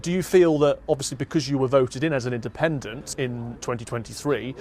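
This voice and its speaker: A man with a British accent reporting the news in a measured, emphatic broadcast style, both scripted and live. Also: mid-range voice, a little mellow.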